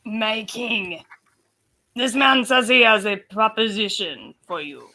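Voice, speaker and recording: A woman's voice: mid-range voice, slow pace, sharp tone, heavily acted. A woman playing a flustered receptionist announcing a visitor to a king at a comedic table read, with some noise on the recording. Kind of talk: comedic live table read of a fan script.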